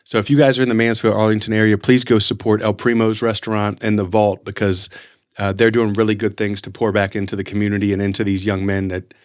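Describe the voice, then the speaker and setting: A man's voice: on the low side, little pitch movement, fast talker, clear, resonant tone. Effusive man hosting a podcast. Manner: effusive, informal, rambling storyteller. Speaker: podcast host. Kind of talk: casual interview chat.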